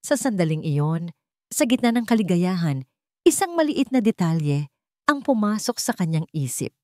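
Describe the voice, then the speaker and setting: A woman's voice: mid-range voice, very animated, normal speed, dark tone, dry recording, studio quality. A woman reading a scripted dramatic story as its narrator.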